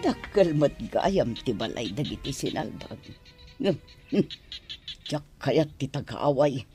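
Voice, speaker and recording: A woman playing a proud, fussy middle-aged mother in a radio drama, with an Ilocano accent and drawn-out, expressive delivery. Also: very deep voice, over music, heavily acted. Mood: disapproving.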